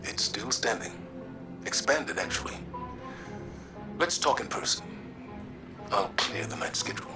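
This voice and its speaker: An actor playing a stoic, weary veteran commander in a video game, speaking in a measured, solemn and formal way. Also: male voice, fairly low pitch, sing-song. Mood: warm, steady. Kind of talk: dramatic video game cutscene acting. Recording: music under the voice.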